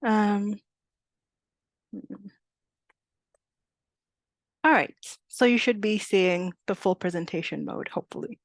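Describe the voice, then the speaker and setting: A woman's voice: mid-range voice, slow pace, gravelly, pauses often, no echo. Young woman from Uganda presenting on a video call, chatty with lots of fillers, dry close sound. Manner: chatty, many fillers and small laughs. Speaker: webinar presenter. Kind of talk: casual webinar presentation.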